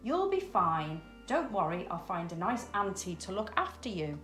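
A woman's voice: mid-range voice, very animated, moderate pace, a bit bright, faint music behind. Storybook father penguin, female reader, grown-up male, caring, firm, reassuring, roomy sound.

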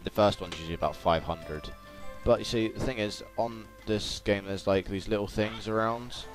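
Low-pitched man doing gaming commentary. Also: fairly even intonation, normal speed. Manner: jokey, chatty asides to the game. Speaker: gaming video creator. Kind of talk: casual let's play commentary. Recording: game music and doubled game audio behind. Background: video game music and sounds.

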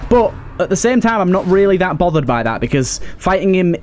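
A man's voice: high-pitched, big pitch swings, a little fast. A man giving casual let's play commentary, thinking aloud and repeating phrases for emphasis. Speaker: gaming video host.

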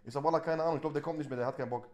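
A male streamer with a slightly high-pitched voice. He chats casually in street slang, dropping in Arabic and Turkish words as he goes. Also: fairly even intonation, rapid-fire, a little mellow, lo-fi sound. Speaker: live streamer and prank caller. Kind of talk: casual livestream chat with slang.

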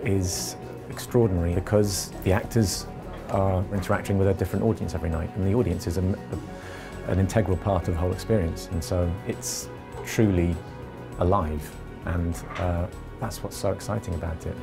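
A man with a gravelly voice giving reflective interview talk. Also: deep voice, fairly even intonation, moderate pace, music in the background.

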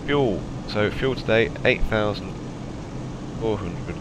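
A low-pitched man talks through his flight deck setup in a relaxed, chatty way, full of ums and okays. He has a cold, and his voice sounds slightly raspy. A constant hum of cockpit ambience sits clearly behind him. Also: slow. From England. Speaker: flight simulator player. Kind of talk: casual narrated cockpit walkthrough.

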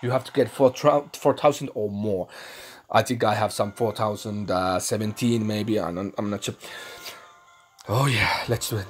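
A young man from Finland, speaking English with a Finnish accent as his second language, commenting excitedly and swearing while recording a mobile game. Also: lowish voice, normal speed, faint music behind. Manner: swearing, excitable, thinking aloud, broken English. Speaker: gaming channel host.

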